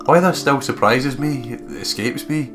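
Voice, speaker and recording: A man's voice: medium pitch, lively intonation, normal speed, fairly bright tone. A Scottish man doing a let's play, reading visual novel narration aloud with some casual commentary. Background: guitar music.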